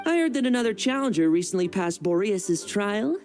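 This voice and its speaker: A woman's voice: medium pitch, very animated, fairly quick, a little mellow, soft background music, close to an everyday voice. A woman voicing an earnest, upbeat young knight messenger in a video game, friendly, eager and informal. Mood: determined.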